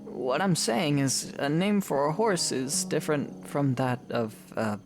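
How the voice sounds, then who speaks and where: An actor playing a reserved, awkward young male hero in a fan audio drama, speaking in a halting, sincere way. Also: on the high side, lively intonation, music in the background. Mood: reluctant, defensive. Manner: hesitant, soft-edged, halting.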